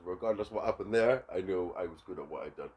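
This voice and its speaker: A man's voice: sits low, fast talker, darkish tone. A man, a podcast guest telling stories in a laid-back way and acting out the dialogue he quotes. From England. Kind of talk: casual chat.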